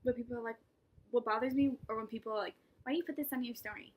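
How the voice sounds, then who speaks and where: A young woman with a slightly high, breathy voice chats casually to her camera. She rambles and mocks herself, often dropping into whispered asides between laughs. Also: fairly even intonation, racing. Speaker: vlogger. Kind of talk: casual vlog monologue.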